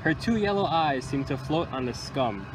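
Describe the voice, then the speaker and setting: A young man reads a picture book to small children with expressive, deliberate storytelling phrasing, recorded outdoors. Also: a little high. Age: young adult. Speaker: county environmental employee reading to children. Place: outdoors under a tree by water. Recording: outdoor recording with loud ambient noise.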